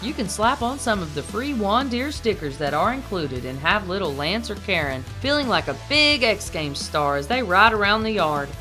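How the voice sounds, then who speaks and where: A woman reading a mock commercial voice-over in an upbeat announcer style with a playful sales pitch. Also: fairly bright tone.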